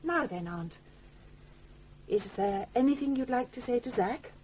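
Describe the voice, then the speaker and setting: An actress playing a brisk, forthright young woman who takes charge in a radio drama, firm and commanding. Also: a little high, expressive, a little mellow. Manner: commanding, firm, crisp retorts. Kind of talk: 1980s radio drama acting. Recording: dull, noisy old radio recording.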